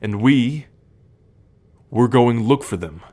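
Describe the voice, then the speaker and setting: A young man playing a troubled, protective male werewolf in an ASMR roleplay, speaking in a measured, halting, confiding way. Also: medium pitch, expressive, dark tone. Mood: earnest.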